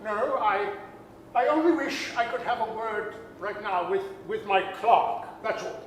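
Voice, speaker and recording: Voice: mid-range voice, expressive, unhurried, lightly acted. An actor as an elderly miser, troubled and full of regret, in vintage radio drama style. The voice rings through a very echoey hall over faint noise. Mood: regretful. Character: remorseful old miser revisiting his past, troubled. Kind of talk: 1930s radio acting.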